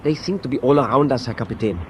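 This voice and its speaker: Guy doing dutiful ww2 german first officer, formal, mid pitched, radio drama with faint noise behind. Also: fast talker, a little mellow, lightly acted. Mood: dutiful, watchful. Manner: formal, respectful reporting. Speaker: actor.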